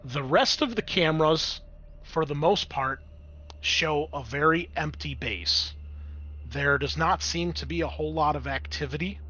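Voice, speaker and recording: A man's voice: a little high, measured pace, sharp tone. A man running a tabletop roleplaying game as game master, improvising scene narration and explaining dice rolls.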